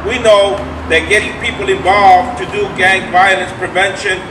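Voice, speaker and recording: A man's voice: high-pitched, steady tone, normal speed, natural voice. A man giving a dramatic reading as an earnest community activist working against gang violence. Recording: echoey room, distant mic, background noise.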